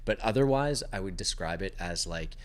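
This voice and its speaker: Low-voiced young man, casual. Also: lively intonation, moderate pace. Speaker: podcast co-host. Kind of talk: casual chat.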